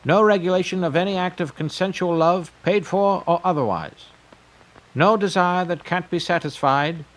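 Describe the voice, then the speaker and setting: A man playing a grandiose, eccentric tycoon pitching his pleasure empire in a declamatory audio drama monologue. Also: moderate pace, natural voice.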